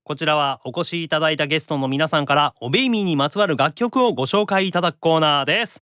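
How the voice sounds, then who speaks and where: A man with a Kansai accent hosting a podcast, reacting with lively, casual retorts. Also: on the high side, clear, resonant tone, no room sound, studio quality. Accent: Kansai Japanese. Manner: animated, quick-reacting straight man who retorts. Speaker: podcast host. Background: faint background music.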